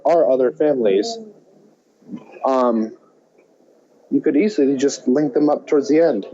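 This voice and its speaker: A man co-hosting a movie podcast in an animated, exclamatory, casual way, on a slightly dull recording. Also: mid-range voice. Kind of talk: casual chat about films.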